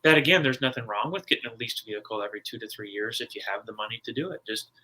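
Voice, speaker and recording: A man's voice: mid-range voice, rapid-fire, pushed and bright, rough recording. A man from the United States, an interview guest, explaining and advising in a casual, plainspoken way. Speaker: guest, personal finance author.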